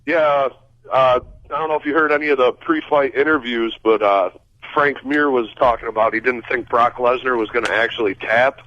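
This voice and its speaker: A man's voice: medium pitch, moderate pace, no room sound. A man phoning a radio show, telling a story casually over the phone. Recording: muffled phone call.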